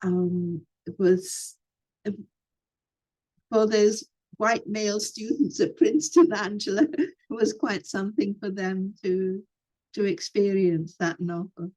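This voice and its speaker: An older woman, a former professor, reminiscing in an unhurried conversation with frequent pauses and fillers. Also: medium pitch, steady tone, darkish tone, rough recording.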